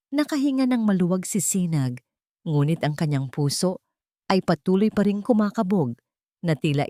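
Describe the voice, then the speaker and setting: Expressive low-voiced Filipino woman storyteller. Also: no echo, studio quality. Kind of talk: dramatic scripted story narration.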